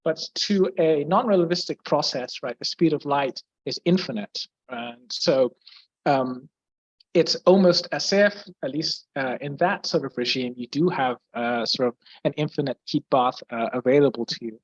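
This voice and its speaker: A man's voice: medium pitch, moderate pace, no room sound, muffled recording. Hesitant man with an English accent. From Brazil. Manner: hesitant, many fillers and restarts, clears throat often. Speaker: guest physicist. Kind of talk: explaining physics in a Q&A.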